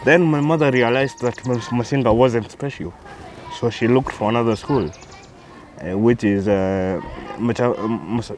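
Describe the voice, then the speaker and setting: A man in his thirties with a Kenyan accent, a guest telling his life story, who hesitates and repeats words as he starts. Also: fairly low pitch. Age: 30s. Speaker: interview guest.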